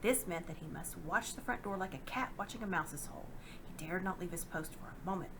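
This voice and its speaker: A woman reading a children's novel aloud in a dramatic, expressive narrating style. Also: lowish voice, fairly quick, background noise. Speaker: storybook reader.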